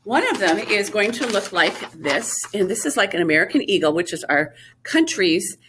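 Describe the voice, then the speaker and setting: A woman's voice: mid-range voice, sing-song, moderate pace, background noise. A woman music teacher giving a recorded lesson to young children, explaining patiently step by step. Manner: sings short phrases to show melody.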